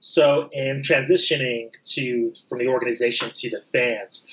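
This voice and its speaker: A man's voice: medium pitch, steady tone, normal speed, fairly bright tone, low-quality recording. A young man co-hosting a podcast, putting a question to a guest in casual conversational speech. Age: young adult. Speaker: podcast co-host, interviewer.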